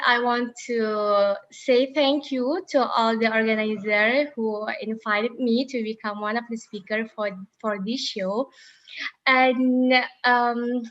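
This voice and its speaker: A young Indonesian woman speaks English with a clear Indonesian accent, in a slightly high voice. She answers an interviewer's questions haltingly, with many "uh"s and restarts. The sound is dull and lo-fi, like a remote call. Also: a bit bright. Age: 20s. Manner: hesitant, many fillers and restarts. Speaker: interview guest, former intern.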